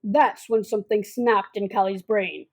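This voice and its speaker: A woman's voice: medium pitch, steady tone, moderate pace, natural voice. A girl of about twelve narrating a comic suspense radio drama in a theatrical, scripted way. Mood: suspenseful. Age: child about twelve.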